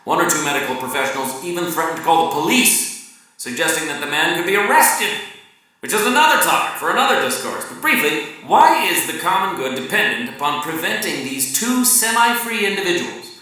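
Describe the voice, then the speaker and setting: A man giving a wry, theatrical dramatic reading of a novel's monologue at a live event, swinging from loud emphasis to hushed murmurs. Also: fairly high pitch, lively intonation, a little fast. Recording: very echoey room, distant mic.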